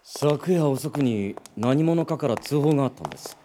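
Man as a bright, lively young detective. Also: medium pitch, normal speed, a little hiss or hum, close to an everyday voice. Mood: businesslike.

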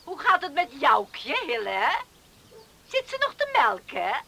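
An actress playing a tart, proud middle-aged farm widow with a retorting manner in a Dutch radio play. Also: female voice, high voice, huge pitch swings, slow pace, creaky, noisy background, full character voice. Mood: barbed. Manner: sharp, retorting.

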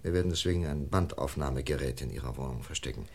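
An actor playing a calm, reassuring police inspector in a German radio crime play, measured and methodical. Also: male voice, a bass voice, creaky, husky, full character voice. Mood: measured, explaining.

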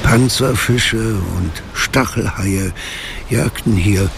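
A man narrating a radio drama as a brooding storyteller of a dark mystery, in a measured, ominous manner. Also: on the low side, gravelly, background noise.

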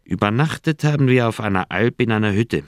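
An actor playing an older, thoughtful doctor, recounting memories in a measured way in a radio play. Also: male voice, on the low side, expressive, clear, resonant tone, no echo, studio quality, natural voice. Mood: reminiscing. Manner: measured, deliberate storytelling. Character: older male Swiss doctor, thoughtful, candid old friend. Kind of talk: radio play dialogue, reminiscing narration.

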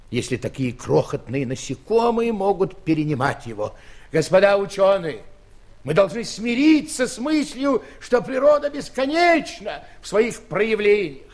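A man's voice: high voice, creaky, a little mellow, breathy edge, full character voice. An actor in an audio play playing a grand giant king, pompous, declamatory and full of curious wonder. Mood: solemn, musing. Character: giant male king, grand, curious, condescending yet benevolent.

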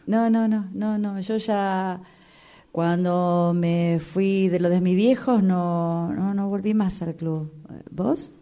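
A woman's voice: medium pitch, fairly even intonation, takes it slowly, dark tone. A woman with a Rioplatense Argentine accent acting an awkward, polite, hesitant acquaintance in a radio play. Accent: Rioplatense Argentine Spanish. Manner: hesitant, repeats short words.

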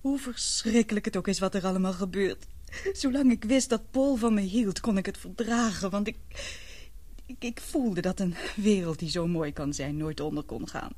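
A woman's voice: mid-range voice, moderate pace, mellow, lightly acted. An actress playing a lovesick, anguished young woman in a Dutch radio drama, hesitant and earnest. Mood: sorrowful, pleading.

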